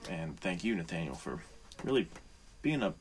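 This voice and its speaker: A man's voice: deep voice, very animated, normal speed. A man co-hosting a podcast, chatting informally and earnestly like an appreciative buddy. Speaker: podcast co-host. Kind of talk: casual podcast chat. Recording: faint background noise.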